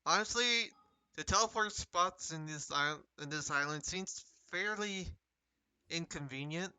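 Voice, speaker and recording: A man's voice: a little high, a little slow, bright, forceful tone, smooth. A man giving casual live commentary as he plays and streams a video game.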